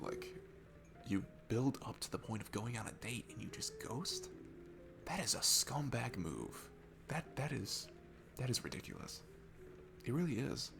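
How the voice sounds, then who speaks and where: Man acting a low, gravelly, shy young guy. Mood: awkward, nervous, then indignant. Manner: awkward, halting, stammering, earnest. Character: shy, sweet, nervous young man at a bar. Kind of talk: ASMR roleplay audio, casual intimate chat. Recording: music behind the voice. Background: music.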